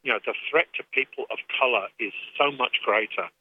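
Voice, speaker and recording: A man with an English accent, speaking as an activist guest in a radio phone interview, earnest and measured with frequent restarts. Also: mid-range voice, no echo. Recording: tinny phone line.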